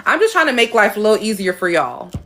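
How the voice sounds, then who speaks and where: A young woman hosting a casual live stream Q&A, blunt and emphatic, who swears freely, answers chat comments and plays with her voice, dropping low or going high. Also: very animated, quick pace, fairly bright tone. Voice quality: playful, shifts her voice between high and deep.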